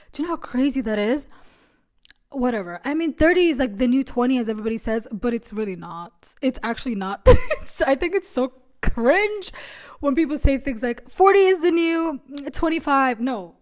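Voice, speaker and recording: A woman in her late twenties hosting a solo podcast, talking in a chatty, animated, self-mocking way. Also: sits high, normal speed. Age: 20s. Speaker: podcast host. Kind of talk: casual solo podcast chat.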